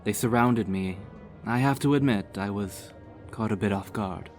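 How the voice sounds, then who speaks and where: A man's voice: lowish voice, normal speed, soft background music, voice pushed up, close to an everyday voice. A man voicing a loyal, good-humored warrior. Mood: earnest.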